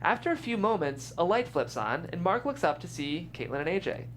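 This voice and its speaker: Amused, lively stage-direction reader. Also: medium pitch, a little fast, slight background noise. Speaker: narrator reading stage directions. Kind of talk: script table read.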